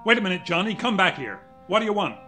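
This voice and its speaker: A blustering American homicide inspector speaks bluntly in a high-pitched male voice. He is brusque and businesslike, in vintage radio drama style with music clearly behind. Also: very animated, fast talker, bright, forceful tone, full character voice. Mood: gruff. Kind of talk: 1940s radio acting.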